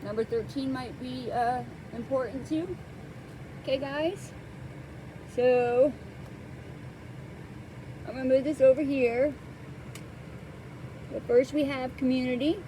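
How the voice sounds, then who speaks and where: A woman hosting a casual tarot card reading, soft-spoken and hesitant, with many pauses and fillers, close to the microphone. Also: high voice, slight background noise, low-quality recording.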